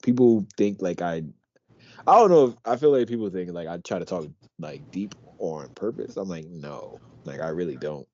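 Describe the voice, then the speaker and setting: Deep-voiced, quiet-talking young man. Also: moderate pace, no room sound, dull audio. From Pennsylvania. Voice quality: deep, quiet, talks through his teeth. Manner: relaxed, rambling, swears casually. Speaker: podcast co-host. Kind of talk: casual chat.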